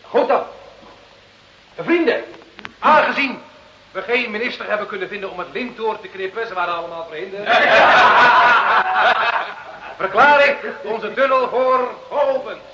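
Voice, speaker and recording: A man acting in a Dutch radio play as a brisk, persuasive village smugglers' ringleader with a rallying, decisive manner. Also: high voice, animated, sharp tone, exaggerated voice. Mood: rousing, jovial. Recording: muffled, echoey old radio drama recording.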